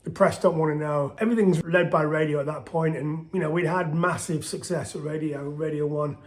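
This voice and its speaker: Man, middle-aged, soft voice, fairly high pitch, rambling casual storytelling, chatty. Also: steady tone, fairly quick. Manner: rambling, chatty, with restarts and fillers. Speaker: band singer telling its history. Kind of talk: casual spoken storytelling to camera.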